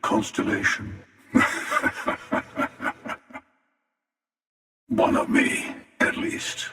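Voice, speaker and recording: An actor playing a cynical, confident older space villain in a video game, wry and taunting, with a gravelly voice. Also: male voice, medium pitch, fairly bright tone, full character voice. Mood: wry, measured. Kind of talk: video game character voice lines. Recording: very echoey hall reverb, distant.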